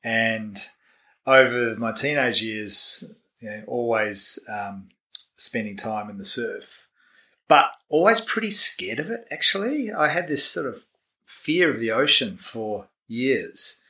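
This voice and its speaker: A man with an Australian accent, a podcast guest in a living room, chatty and quick to laugh. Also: mid-range voice, animated, pushed and bright, recorded from a distance. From Western Australia. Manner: laughs easily, chatty storytelling. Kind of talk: casual interview. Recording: roomy and off-mic.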